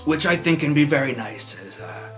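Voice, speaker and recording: A man voicing a humble young male student who gives a gala speech in a halting way, pausing often. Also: a little high, expressive, music in the background, lightly acted. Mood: modest. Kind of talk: improvised tabletop roleplay.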